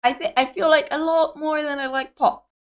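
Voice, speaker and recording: A woman's voice: high voice, fairly even intonation, normal speed. A young woman with a Greek Cypriot accent, whose first language is Greek, chatting casually and rambling to viewers as a reaction video host. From Cyprus. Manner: chatty, rambling, hesitant with many fillers.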